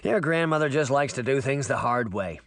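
Storybook adventurer uncle, acted by a male reader: friendly, unbothered, persuasive, animated, dry sound. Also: medium pitch, normal speed, dry recording. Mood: breezy. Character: easygoing, confident, reassuring adventurer uncle.

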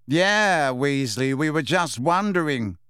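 A man's voice: on the high side, normal speed, a bit bright, smooth, dry recording, clean studio sound, natural voice. A man voicing a malicious teenage schoolboy bully with a mocking manner.

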